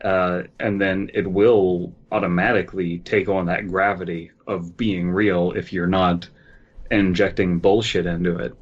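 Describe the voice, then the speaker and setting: A man's voice: low voice, level tone, unhurried. A man co-hosting a podcast, offering casual critique and advice with emphatic, salty wording. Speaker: podcast co-host. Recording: slightly dull, lo-fi microphone sound.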